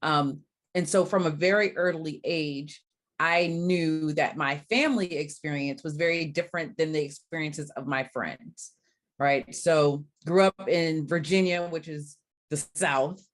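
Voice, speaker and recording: Low-voiced woman from Barbados. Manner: thoughtful, measured, with frequent "um"s. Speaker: guest, diversity coach. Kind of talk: conversational interview answers.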